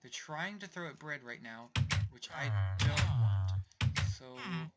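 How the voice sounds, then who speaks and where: A young man casually narrating his game as he plays, rambling and talking to himself, his voice going a little hoarse. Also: on the high side, very animated, a little slow. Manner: rambling, self-talking, distracted asides. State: voice getting hoarse. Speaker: let's play host. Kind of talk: casual gameplay commentary.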